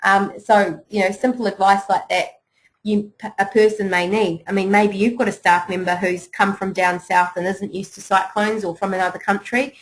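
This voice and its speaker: Kiwi woman running a webinar, chatty and encouraging, lots of little ums, middle-pitched voice. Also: steady tone, moderate pace, rough recording. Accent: New Zealand. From New Zealand. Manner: chatty and encouraging, many "you know" and "um" fillers. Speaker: webinar presenter. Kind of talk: business preparedness webinar talk.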